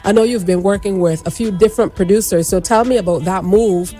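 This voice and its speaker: A woman's voice: medium pitch, fairly quick, warm tone, soft background music. A woman hosting a morning radio show, asking a guest upbeat, encouraging questions. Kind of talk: radio interview.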